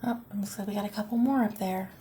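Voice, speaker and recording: A woman reading a picture book aloud expressively, with deliberate dramatic emphasis and pauses. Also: mid-range voice, fairly even intonation, noisy background.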